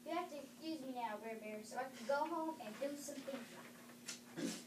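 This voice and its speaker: A young student with a high voice reads a readers theatre role. The recording is distant and echoey, with clear background noise. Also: normal speed.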